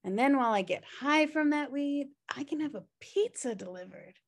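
A woman's voice: high voice, lively intonation, moderate pace. An American woman playing a lazy, flippant slacker sister in a comedy table read, with a casual, dismissive way of talking. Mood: breezy. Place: indoor room, video call.

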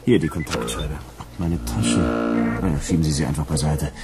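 An actor playing a dry, stubborn bounty hunter in a radio drama. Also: male voice, very deep voice, normal speed, over loud music. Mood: dry, resigned.

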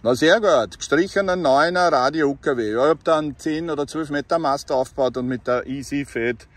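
A man's voice: a little high, a little fast. A man with a Viennese accent chatting casually as a CB radio hobbyist outdoors, often ending phrases with tag questions. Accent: Viennese Austrian. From Austria. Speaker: CB radio hobbyist filming a vlog. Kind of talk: casual radio chat and commentary. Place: outdoors by bushes on a roadside. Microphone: handheld phone filming.

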